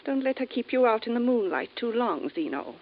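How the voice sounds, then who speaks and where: Fussing Martian mother, highish voice, doting, fretful, vintage radio drama, muffled. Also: expressive, a little fast. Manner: fretful, coddling. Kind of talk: 1950s radio drama acting.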